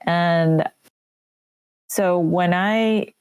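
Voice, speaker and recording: Woman, Korean first language, low and slow, reflective podcast guest, dry close mic. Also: clear, resonant tone, no room sound. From United States. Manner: reflective, unhurried, with soft hesitations. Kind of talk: casual podcast chat.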